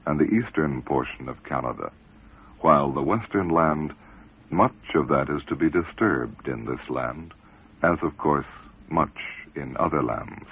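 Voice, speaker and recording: Deep gravelly man reading prophecy. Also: a little hiss or hum. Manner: measured, prophetic cadence. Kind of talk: solemn scripted reading. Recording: narrow lo-fi radio broadcast.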